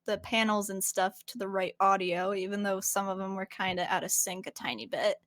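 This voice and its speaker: A woman's voice: mid-range voice, a little fast, fairly bright tone, no echo, studio quality. A woman who voice acts and edits, chatting casually about her work. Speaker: fan dub voice actor and editor. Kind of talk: casual chat.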